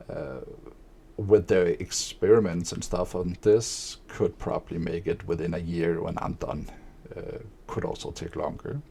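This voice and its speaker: Guy talking slow and flat, kinda low voice, lots of ums, streamer rambling. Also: creaky, breathy edge. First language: Danish. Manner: monotone; hesitant, many fillers. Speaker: streamer and aspiring author. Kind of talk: casual spoken monologue.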